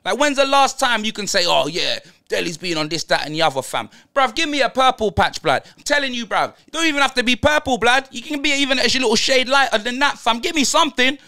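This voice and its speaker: A man's voice: extremely high pitch, animated, quick pace, few pauses, pushed and bright, exaggerated voice. A man doing a ranting London roadman fan. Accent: London roadman slang. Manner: ranting, slang-heavy, tags every line with blood and fam. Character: brash young male London roadman football fan. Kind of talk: comedic football rant.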